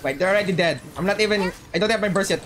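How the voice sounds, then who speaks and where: A woman's voice: medium pitch, racing, a crowd in the background. A woman livestreaming a video game, chatting casually and bantering with viewers, often repeating her words and phrases. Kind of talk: casual gameplay chat with viewers.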